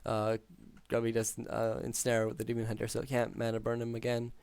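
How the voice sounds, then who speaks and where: A man calling a strategy game match alone, talking through plays with many ums, his voice hoarse after hours of casting. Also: mid-range voice, a little fast, dry recording. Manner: steady, filler-heavy analytical play-by-play. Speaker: game caster. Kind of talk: solo esports play-by-play commentary.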